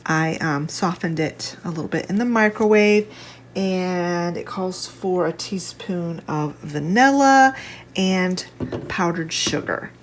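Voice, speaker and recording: A woman talks through a recipe in a fairly low, slightly stuffed-up voice. She is casual and chatty, thinking aloud as a home cook does. The kitchen recording carries some noise behind her. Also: slow pace. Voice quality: congested, slightly stuffy nose sound. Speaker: home cook, video host. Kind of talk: casual cooking demonstration.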